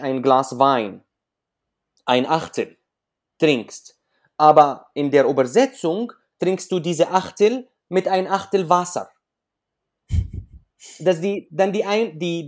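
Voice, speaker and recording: Man with Arabic accent, searching for words. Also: on the high side. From Syria. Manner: hesitant, searching for words in non-native German, explains with examples. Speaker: interview guest, author. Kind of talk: conversational interview.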